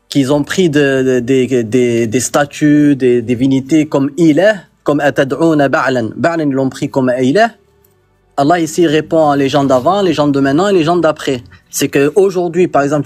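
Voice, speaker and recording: A man's voice: a little high, darkish tone. A man whose first language is Arabic, explaining religious ideas in a live debate, hesitant with many fillers and repeated words. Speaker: guest debater.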